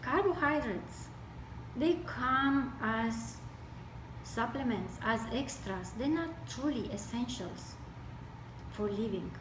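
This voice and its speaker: A woman from Romania with a Romanian accent gives an explanatory health talk, speaking deliberately in broken phrases. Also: a little high, expressive. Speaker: health speaker with medical training. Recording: distant mic, echoey room, noisy background.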